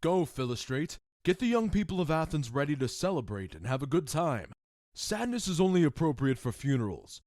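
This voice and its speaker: A man playing a commanding, measured duke in an audio drama, speaking with stately, deliberate authority. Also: on the high side, sing-song, a little mellow, no echo, clean studio sound. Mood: festive, assured. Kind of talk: modernized Shakespeare audio drama acting.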